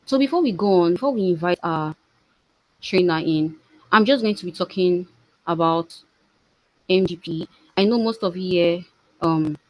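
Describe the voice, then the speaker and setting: Woman, lowish pitch, program host, halting phrasing, introducing a session, dry recording. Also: no echo, poor audio. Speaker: program manager hosting an online training. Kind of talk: welcoming participants and introducing a training session. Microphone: video call.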